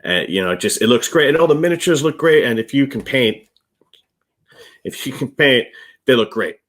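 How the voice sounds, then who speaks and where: A man's voice: medium pitch, lively intonation, moderate pace, fairly bright tone. A man, middle-aged, chatting casually as co-host of a game review show, rambling a little and repeating words for emphasis. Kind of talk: casual chat, reviewing board games.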